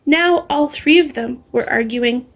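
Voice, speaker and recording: A woman reading a children's storybook aloud in an animated, expressive way. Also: high-pitched. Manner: animated, drawing out refrains slowly. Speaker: storybook reader. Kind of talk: expressive read-aloud of a children's picture book.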